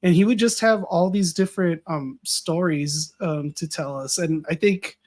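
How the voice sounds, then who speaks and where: Man, American, higher than average pitch, rambling, fillers, restarts, voice going a bit. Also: moderate pace, darkish tone. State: losing his voice a little. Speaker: podcast guest. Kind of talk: casual conversation.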